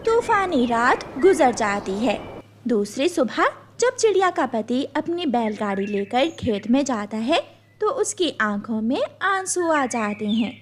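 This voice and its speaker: A woman narrating a children's story in an expressive, scripted storytelling style. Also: on the high side, a little slow, slight background noise.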